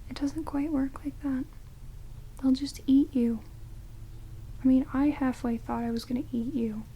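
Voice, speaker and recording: A woman playing a sardonic, grumbling vampire in a soft, half-whispered ASMR roleplay, muttering dryly close to the microphone. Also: fairly high pitch, slight background noise, close to an everyday voice, low-quality recording. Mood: exasperated, sarcastic. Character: sardonic, grumbling female vampire grudgingly tending an intruder. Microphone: close binaural microphones. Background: heavy rain.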